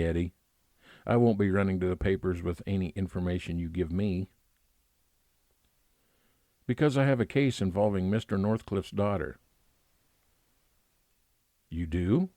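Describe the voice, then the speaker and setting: A man playing a weary, nostalgic private investigator, narrating an old-time radio detective drama in a measured, reflective way. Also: on the low side, mellow, dry recording. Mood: businesslike, friendly.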